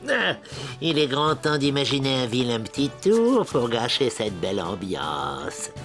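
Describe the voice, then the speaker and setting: A man's voice: mid-range voice, big pitch swings, measured pace, fairly bright tone, soft background music. A man voicing a grumpy, pompous male goblin villain who schemes and bosses theatrically in a French cartoon dub. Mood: gleeful plotting.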